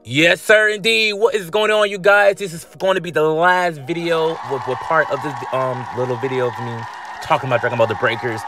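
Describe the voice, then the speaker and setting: A man's voice: a little high, steady tone, fairly quick, background noise. A man talking casually over gameplay footage as the channel's host, in a drowsy, loose way with lots of fillers and slang.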